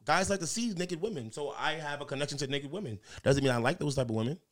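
A young man in his twenties talks fast in loose podcast banter. He stays laid-back and joking and pushes back a little when pressed. His voice sounds close and dry, with no room around it. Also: on the high side, animated, a bit bright, no room sound. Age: 20s. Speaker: guest.

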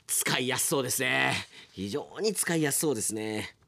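A man's voice: medium pitch, moderate pace, vocal fry. A man hosting a radio aftertalk, reading listener mail in casual chat and reacting with amused asides. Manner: animated, reacts with amused asides to what is read.

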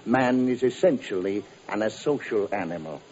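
Pompous, swooping old-radio barroom philosopher. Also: male voice, lowish voice, expressive, moderate pace, a little mellow. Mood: grandly philosophical. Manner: pompous, wordy, theatrical. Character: florid, philosophizing male barroom tippler, aggrieved and grandiose. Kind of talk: 1950s radio drama acting. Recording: dull vintage radio recording.

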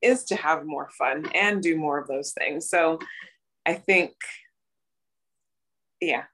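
A woman co-hosting a casual podcast chat, thinking aloud with restarts, her voice slightly raspy today. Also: sits low, takes it slowly, fairly bright tone. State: raspy voice from cheering. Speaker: podcast co-host.

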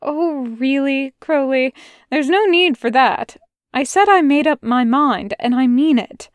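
A woman's voice: sits high, unhurried, no echo, voice pushed up. A woman reading a fan audiobook, voicing a fussy, prim male angel who stumbles over his words.

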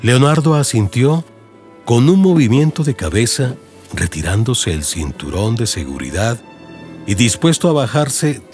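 A man's voice: medium pitch, very animated, measured pace, a little mellow, faint music behind. A man with a Colombian accent narrating a scripted audiobook in radio-drama style. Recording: faint background noise and ambience.

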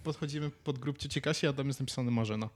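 Guy on a podcast just chatting, average pitched voice, thinks out loud, hunts for words. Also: quick pace. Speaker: podcast co-host. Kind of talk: casual chat, musing and telling anecdotes.

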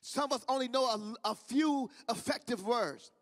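High-voiced Midwestern preacher in echoing hall. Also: male voice, normal speed, a bit bright. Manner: fervent, repetitive preaching cadence. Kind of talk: sermon. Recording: long reverb of a large hall.

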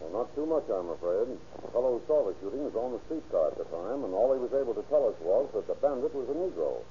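A man's voice: mid-range voice, lively intonation, fast, mellow, a little hiss or hum, close to an everyday voice. Actor as a businesslike lawman, muffled old recording. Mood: matter-of-fact. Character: lawmen: formal, businesslike male judge and police officers. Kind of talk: 1930s radio acting.

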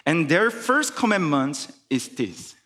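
Korean-accented man preaching slowly in church. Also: on the high side, very animated, fairly bright tone, in a large hall. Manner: careful, halting English with restarts. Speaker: guest preacher. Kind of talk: sermon. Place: church sanctuary.

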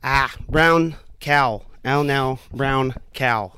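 A man hosting a casual podcast interview, chatty and friendly with a slightly raspy, tired voice. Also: mid-range voice, very slow, sharp tone. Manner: friendly, chatty, laughs often. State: slightly raspy, losing his voice. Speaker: podcast host.

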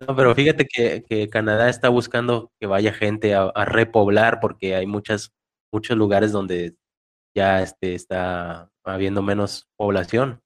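A man with a Mexican Spanish accent, chatting casually on a podcast, relaxed and slangy. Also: fairly low pitch, a little slow. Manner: relaxed, slangy, frequent backchannels. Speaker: podcast co-host. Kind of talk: casual chat.

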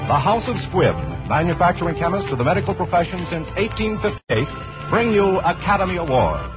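A man's voice: sits high, big pitch swings, a little fast. A man announcing formally on 1940s radio in a stately, emphatic manner, on a dull old recording. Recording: dull old radio, loud orchestral music.

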